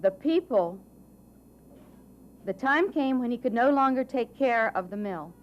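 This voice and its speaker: A woman with a high voice tells a children's story. She goes slowly and deliberately, swinging her pitch widely and phrasing for drama. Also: animated, lo-fi sound, a little hiss or hum. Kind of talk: scripted children's story narration.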